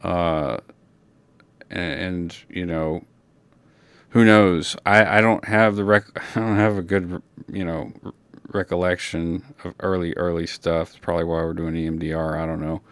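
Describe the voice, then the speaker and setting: A man whose native language is Creole French, speaking in a reflective, unscripted personal monologue, halting and searching for words. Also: sits low, steady tone, a bit bright, clear, resonant tone. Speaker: personal documentary narrator.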